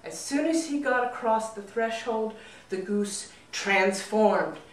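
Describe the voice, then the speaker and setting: The recording is echoey and slightly distant. A woman narrates a folk tale at a slow pace, with expressive, dramatic phrasing and a medium-pitched voice. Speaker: storyteller. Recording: roomy echo, distant mic.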